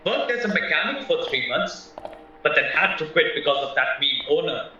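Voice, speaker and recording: Male actor as nervous naive young man, rambling, polite, bright voice, distant muffled recording, radio drama. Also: a little high, very animated, moderate pace, a little hiss or hum, full character voice. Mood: earnest, defensive. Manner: anxious, polite, hesitant, rambling. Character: nervous young male drifter accused of murder, naive and chatty. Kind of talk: stage-style radio play acting. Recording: roomy, distant, muffled, music underneath.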